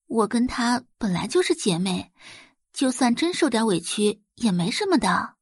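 A woman's voice: on the high side, big pitch swings, moderate pace, breathy edge, no echo, clean studio sound, full character voice. A woman voicing a sweet young daughter with a soothing, demurely reasonable manner in an audio drama. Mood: sweetly placating. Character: sweet, seemingly considerate young daughter, placating.

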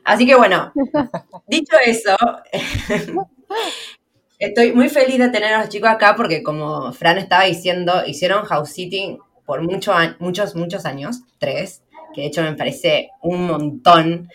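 A young woman with an Argentine Spanish accent, hosting a podcast in fast, slangy, chatty talk. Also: lowish voice, a bit bright. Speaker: podcast host. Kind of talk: casual podcast chat.

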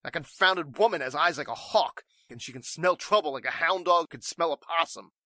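An actor playing an agitated, scheming crook with folksy slangy talk in a 1940s-style radio drama. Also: male voice, a little high, normal speed, bright, forceful tone, no room sound. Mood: alarmed, urgent. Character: scheming crooked man reporting trouble to an accomplice.

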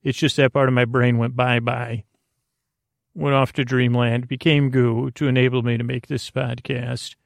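A man hosting a podcast with a rambling bedtime monologue, whimsical and halting, drifting off on tangents in a gravelly, creaky voice. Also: medium pitch, flat delivery, dry recording, studio quality. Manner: meandering, halting, tangent-prone; whimsical, goofy. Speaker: podcast host.

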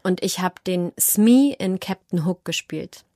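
A woman's voice: fairly low pitch, animated, measured pace, mellow, dry recording, clean studio sound. A woman giving casual, chatty interview answers in a playful, self-ironic way, telling stories with animation. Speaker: interview guest, actress and audiobook narrator.

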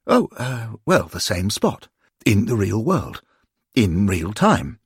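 A man voicing a genteel older gentleman who frets and fusses in a husky, creaky voice. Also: on the low side, sing-song, slow, no echo, studio quality, exaggerated voice. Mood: fretful. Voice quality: husky, creaky, prim and fretful.

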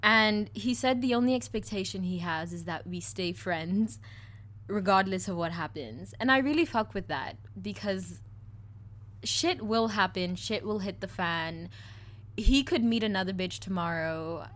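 A South African woman in her twenties talks openly on a podcast, with a mild South African accent. She is blunt and crude in a relaxed, joking way, and she breaks into laughter often. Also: mid-range voice, unhurried. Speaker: podcast co-host. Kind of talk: casual chat.

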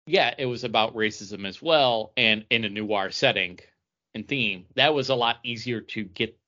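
A man co-hosting a podcast in casual conversation, talking haltingly and repeating himself as he makes his point. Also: fairly low pitch, pushed and bright, lo-fi sound. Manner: halting, repeating and restarting phrases. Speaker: podcast co-host reviewing comic books. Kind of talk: casual chat.

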